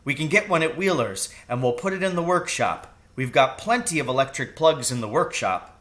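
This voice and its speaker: A man's voice: fairly high pitch, expressive, brisk, a bit bright. A man voicing an exuberant, boastful, loving father in a children's story, with grand, emphatic declarations. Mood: eager.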